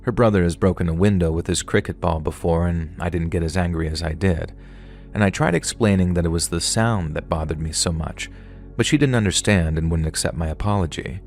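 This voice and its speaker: Man, deep voice, scripted horror narration, measured pace, soft music behind. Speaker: narrator reading viewer stories.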